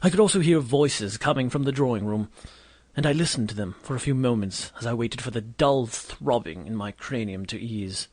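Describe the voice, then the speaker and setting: A man performs a vain, lovelorn Victorian doctor in an audio drama. He tells his tale pompously and grumbles at his companions. The voice sits at a middling pitch in a very dry recording. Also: moderate pace, darkish tone, no echo, lightly acted. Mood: wry, self-important. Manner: pompous, wordy storytelling. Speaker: narrator and lead character. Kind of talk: scripted first-person audio drama narration and dialogue.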